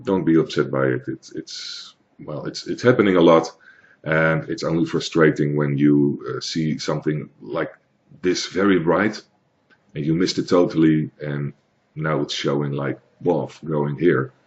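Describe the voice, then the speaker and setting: A man from the Netherlands with a Dutch accent, explaining software in a hesitant, plain tutorial with many fillers. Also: extremely low pitch, a little slow, dull audio. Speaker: tutorial maker. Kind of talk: screen-recorded software tutorial.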